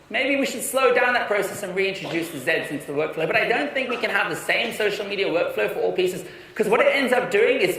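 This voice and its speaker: A young man giving a conference talk in an energetic, persuasive way, often restarting his sentences. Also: high-pitched. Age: young adult. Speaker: conference speaker. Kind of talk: keynote talk. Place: large conference hall. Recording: echoey hall from far off.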